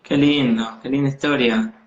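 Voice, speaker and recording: A man's voice: mid-range voice, steady tone, normal speed. A man with an Argentine accent interviewing a guest on a video call, full of short encouraging replies. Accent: Argentine Spanish. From Buenos Aires, Argentina. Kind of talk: casual interview. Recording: echoey, dull video-call audio.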